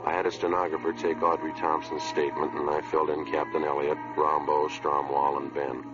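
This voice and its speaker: An actor playing a terse, businesslike American police detective sergeant in an old radio drama, clipped and deadpan. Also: male voice, a bass voice, brisk, over loud music. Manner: clipped, deadpan narration and short orders. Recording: noisy muffled old radio recording.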